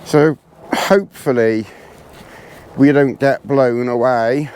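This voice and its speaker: A man with a hoarse, congested voice talks unhurriedly to his camera as he walks across open hills. His speech is rambling and self-deprecating, full of restarts, and his pitch sits at an ordinary middle level. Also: fairly even intonation, takes it slowly, darkish tone. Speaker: vlogger. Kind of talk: casual chat while hiking. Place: outdoors on windy hills.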